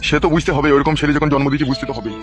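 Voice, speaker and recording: A man playing an angry, foul-mouthed father in a comic cartoon, with a rural West Bengal accent and a gruff, scolding manner. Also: fairly high pitch, rapid-fire, music in the background, natural voice. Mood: bitter, resigned.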